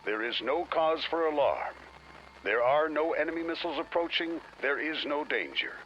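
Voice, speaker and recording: An American actor playing a measured, authoritative emergency radio announcer in a formal broadcast drama. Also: male voice, fairly high pitch, highs cut off, slight background noise, natural voice. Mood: reassuring.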